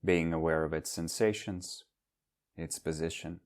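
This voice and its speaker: A man leading a guided meditation as a teacher, speaking in measured, instructional phrases with long pauses. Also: sits low, fairly even intonation.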